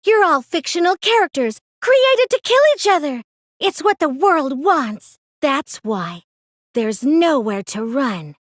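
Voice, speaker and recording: Game villain: young cosplayer mastermind, piercingly high, very animated, taunting, dry close recording. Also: female voice, slow, dry recording, heavily acted. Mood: cold, quiet. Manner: theatrical, gloating, taunting. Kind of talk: anime game voice acting.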